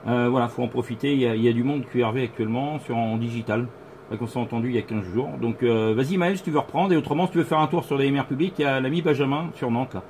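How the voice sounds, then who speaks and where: A man's voice: medium pitch, very fast, darkish tone, a little hiss or hum. A man, a radio operator, chatting casually on a two-way radio contact from indoors at home.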